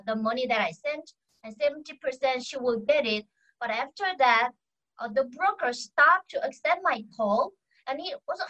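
A young woman with a Korean accent, speaking English as a second language, talking as a guest in an online conversation and often pausing to search for words. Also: sits high, bright, forceful tone, lo-fi sound. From North Korea. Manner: halting, searching for English words, many pauses. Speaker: guest, defector.

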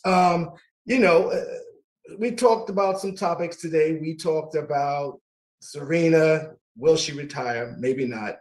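A middle-aged man hosting a casual talk radio show, warm and laughing easily, often repeating his words. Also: fairly high pitch, measured pace.

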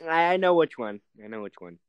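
A man's voice: fairly high pitch, very animated, a little fast, smooth, no room sound, dull audio. A young man co-hosting a movie review podcast, teasing and making crude jokes in casual banter. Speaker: podcast co-host.

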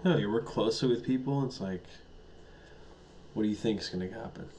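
Guy in his 30s cracking crude jokes on a podcast, lowish voice, noisy recording. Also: fairly quick, darkish tone. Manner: joking, crude, teasing. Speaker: podcast co-host. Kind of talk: casual chat.

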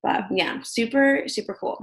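A woman co-hosting a podcast on a video call, speaking in a sleepy, slightly raspy voice and explaining astrology in casual chat with lots of fillers. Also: on the high side, moderate pace. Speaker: podcast co-host.

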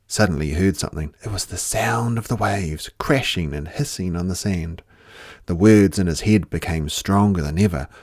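A man reading a children's story aloud with expressive delivery and drawn-out, dramatic emphasis. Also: sits low, no room sound, clean studio sound.

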